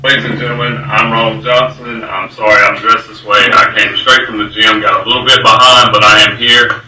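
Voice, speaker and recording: A man's voice: fairly low pitch, flat delivery, fairly quick, noisy background. A man, a school board member giving an urgent, rallying speech to a crowd. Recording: echoey room, voice from far off.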